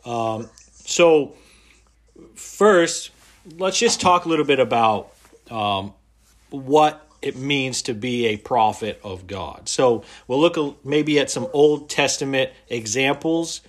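A man hosting a podcast interview, hesitant, with many pauses and fillers. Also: medium pitch. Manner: hesitant, many ums and uhs. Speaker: podcast host.

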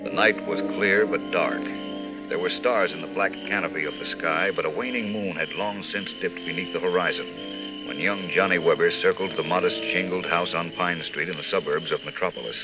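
Low low voiced guy narrating old time radio serial, measured n dramatic, music under him. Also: poor audio. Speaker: announcer and narrator. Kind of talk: 1940s-50s radio scripted narration.

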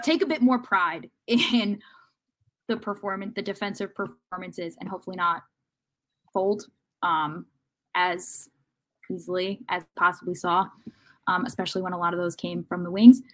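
A woman's voice: mid-range voice, steady tone, unhurried, long pauses, fairly bright tone, poor audio. A woman talking casually and analytically as a sports podcast panelist, often hesitating and rephrasing as she goes. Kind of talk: casual football discussion.

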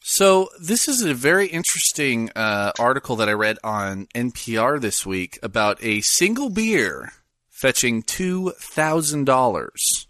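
A man co-hosting a podcast, chatting casually and rambling a little as he reads out a news item, with many pauses and fillers. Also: medium pitch, sing-song, fairly bright tone, no room sound, studio quality. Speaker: podcast co-host. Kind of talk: casual chat.

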